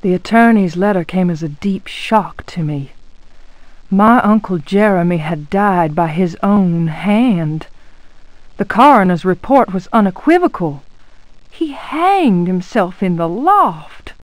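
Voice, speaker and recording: An actress playing an anxious but resolute genteel niece, narrating a gothic horror game in a formal, dramatic manner. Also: female voice, lowish voice, expressive, slow, dry recording, low-quality recording. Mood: grave, troubled. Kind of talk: scripted game monologue narration.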